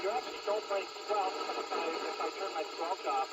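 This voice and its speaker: A man chatting casually and a little haltingly over two-way radio as an amateur operator. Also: high voice. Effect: heard over two-way radio. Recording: distant, noisy handheld radio transmission.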